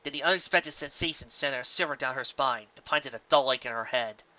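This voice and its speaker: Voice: medium pitch, a little fast, sharp tone, no room sound. A narrator reading fan fiction aloud on a dull, close recording.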